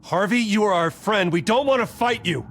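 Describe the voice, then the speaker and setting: An actor playing an earnest, steady hero in a dramatic video game, reasoning firmly and urgently. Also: male voice, high-pitched, normal speed, slight background noise. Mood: placating.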